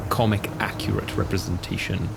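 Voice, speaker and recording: Man, game player, gravelly voice, animated pitch, eager questions, music. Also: a little fast. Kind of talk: tabletop game chat. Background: background music.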